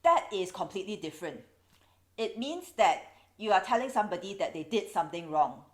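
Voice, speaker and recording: A woman's voice: mid-range voice, big pitch swings, a little fast. Singaporean woman, wry vlog host. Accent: Singaporean English. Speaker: video host. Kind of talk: explanatory vlog monologue. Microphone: camera's built-in mic.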